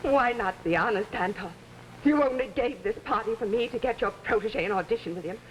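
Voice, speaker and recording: An actress playing a bitter, jealous wife in a 1950s television melodrama. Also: female voice, a little high, fairly quick, lightly acted. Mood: bitter, accusing. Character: women at a tense party in melodrama. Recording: noisy vintage TV soundtrack.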